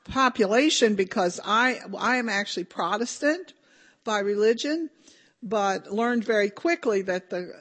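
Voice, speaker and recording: An older woman giving a talk at a conference, her voice slightly hoarse and deeper than usual for a woman. She speaks at an ordinary pitch, hesitant and informal, pausing often with ums and starting sentences over. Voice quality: deep for a woman. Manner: hesitant, many ums and restarts.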